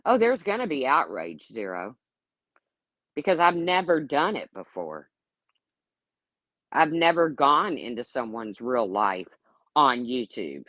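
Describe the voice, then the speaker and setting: A woman's voice: rumbling low, sing-song, slow pace, pauses often, fairly bright tone, no room sound. A woman in her late fifties hosting a casual livestream, talking bluntly and sarcastically as she rambles and answers her chat. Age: 50s. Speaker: YouTube livestream host.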